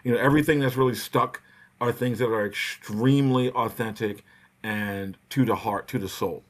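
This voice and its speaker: A man's voice: mid-range voice, moderate pace. A man co-hosting a podcast, chatting casually in an impassioned, opinionated way with lots of conversational filler. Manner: impassioned, ranting, peppered with "you know". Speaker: podcast host.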